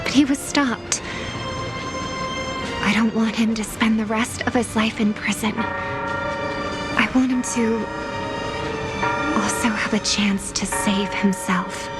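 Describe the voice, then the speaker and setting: An actress voicing an earnest young woman who speaks calmly and sincerely about her brother, in a game scene with music behind her. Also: fairly high pitch, takes it slowly, long pauses. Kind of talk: game voice acting. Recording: game dialogue over music.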